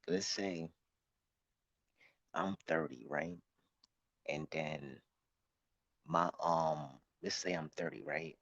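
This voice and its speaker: A man of about thirty giving casual, slangy street commentary in a halting, repetitive way, recorded outdoors. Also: very deep voice, a bit bright, no echo. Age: late 20s, about thirty. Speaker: vlog host. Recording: muffled, noisy outdoor recording with clicks.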